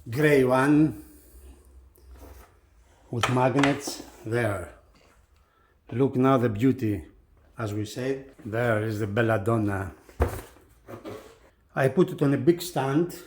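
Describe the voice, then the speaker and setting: A man who is not a native English speaker presents a product unboxing casually, speaking in short, halting phrases. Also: mid-range voice, noisy background. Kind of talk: casual unboxing demonstration talk.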